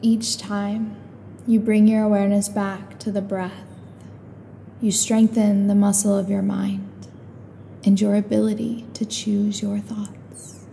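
Slow, very mellow woman guiding meditation. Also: mid-range voice, creaky, a little hiss or hum. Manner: calm, soothing, unhurried with long pauses. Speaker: meditation guide. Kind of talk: guided meditation, slow calm instruction.

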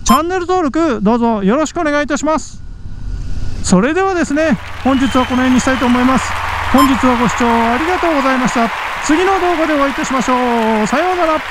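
A man's voice: very high-pitched, fast talker, heavy background noise. A man hosting a motorcycle vlog, narrating casually and exclaiming at the views while riding on a mountain road. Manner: excited, frequent exclamations at the scenery. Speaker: motovlog host. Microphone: helmet mic on a moving motorbike.

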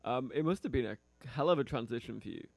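A male radio host with a foreign accent, interviewing a musician in a relaxed, hesitant way. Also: mid-range voice, fast, gravelly, dry recording. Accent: foreign, non-local. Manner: relaxed, hesitant, many fillers. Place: small radio studio. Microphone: studio mic on a stand.

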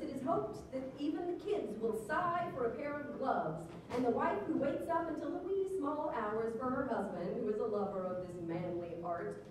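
High-voiced woman reading in an echoey hall. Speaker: reader at a live literary reading. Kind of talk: theatrical reading aloud of old newspaper articles. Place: museum lecture hall with live audience. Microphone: stand microphone on stage, distant camera recording. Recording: echoey, noisy hall recorded from far off.

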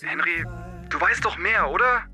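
An actor playing a blunt, prying teenage schoolboy who talks pointedly in an audio drama. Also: male voice, sits high, moderate pace, over music, close to an everyday voice. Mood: accusing, probing. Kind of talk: naturalistic audio drama acting.

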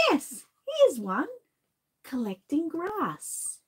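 A woman in her forties with an Australian accent, reading a storybook aloud to a toddler in a gentle, coaxing way, seated indoors close to the camera. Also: high voice, huge pitch swings, extremely slow. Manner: gentle, sing-song, coaxing. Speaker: channel host and grandmother. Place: indoor room with shelves, seated. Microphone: fixed camera at close range.

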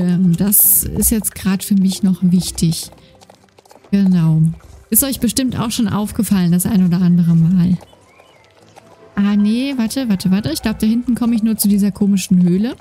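A woman with a slight lisp, chatting casually while playing a horse game, with many fillers, on a desk microphone. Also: mid-range voice. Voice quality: slight lisp, soft trailing S sounds. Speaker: gaming YouTuber. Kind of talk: casual let's-play commentary. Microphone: desk microphone with noise gate. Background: game music and horse hoofbeats.

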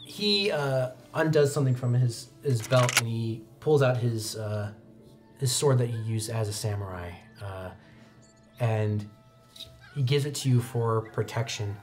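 A young man improvising tabletop game narration as game master in a casual, halting, conversational way. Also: mid-range voice, soft background music. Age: young adult. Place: indoor studio set.

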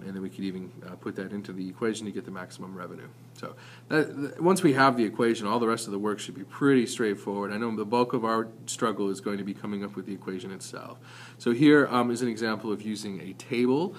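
A man's voice: fairly low pitch, fairly bright tone, slight background noise. A man giving an informal, explanatory math lesson as a teacher, talking conversationally with some hesitant self-corrections.

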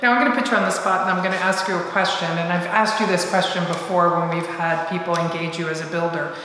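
A woman's voice: fairly low pitch, steady tone, fast talker, pushed and bright, very echoey, long reverb tail, rough recording. A woman in her fifties giving a house tour, enthusiastic, asking questions and affirming her co-host, in a large empty room. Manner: enthusiastic, asks leading questions, affirms often. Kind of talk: casual house tour chat.

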